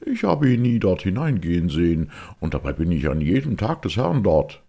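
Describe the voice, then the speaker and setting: A man's voice: low voice, very animated, moderate pace, warm tone, breathy edge, full character voice. A man voicing a stern, pious old sea captain with a hollow voice and a solemn, questioning manner in an audiobook. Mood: doubting, indignant. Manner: solemn, deadly earnest, interrogating.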